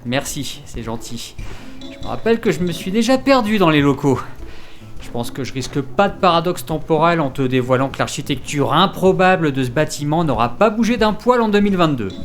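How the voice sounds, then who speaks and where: A man playing a naive, excitable time-traveling new recruit in a French audio comedy, with a flustered, stammering delivery. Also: fairly high pitch, brisk, faint music behind, natural voice. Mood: doubtful.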